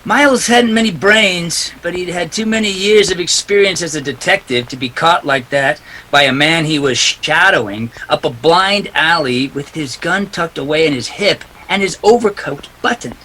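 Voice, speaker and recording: An actor playing a tough, cynical private detective with a clipped, sardonic delivery in a detective radio play. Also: male voice, a little high, normal speed, hardly any pauses, pushed and bright, a little hiss or hum, poor audio. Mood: accusing.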